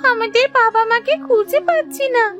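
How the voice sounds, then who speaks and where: A woman's voice: extremely high pitch, a little fast, music in the background. A woman voicing an anxious, pleading little village girl in a children's fairy-tale cartoon. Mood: worried, tearful.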